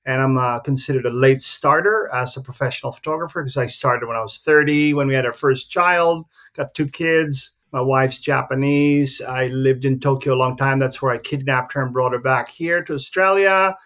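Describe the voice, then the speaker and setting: A man in his fifties who grew up in the Philippines, a photographer talking about his career in a relaxed, rambling way with many fillers. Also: medium pitch, moderate pace, few pauses. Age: 50s. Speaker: guest, professional photographer. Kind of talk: casual interview talk.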